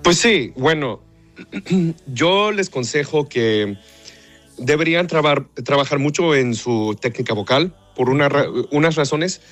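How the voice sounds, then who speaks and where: A man from Texas, half Mexican, an opera singer answering interview questions in Spanish with pauses, repeated words and stray English. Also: fairly high pitch, expressive, a bit bright, faint music behind. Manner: hesitant, searching for Spanish words, mixes in English.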